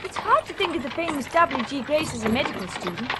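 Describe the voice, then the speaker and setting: Demure young lady, high lively voice, curious, really noisy old radio tape. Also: fast. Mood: polite, curious. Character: polite young lady cousin, sweetly curious. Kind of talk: 1980s radio play acting.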